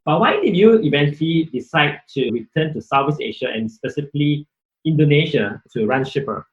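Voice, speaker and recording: A man interviewing a guest, polite and appreciative as he asks his questions. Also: medium pitch. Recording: dull lo-fi audio.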